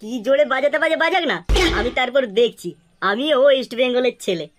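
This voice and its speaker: Man voicing a fast, squeaky, cocky cartoon lad. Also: expressive, very noisy. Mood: mocking, defiant. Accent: West Bengal. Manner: brash, argumentative, boastful. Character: cocky, foul-mouthed young Bengali cartoon troublemaker. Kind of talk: comedy cartoon dialogue.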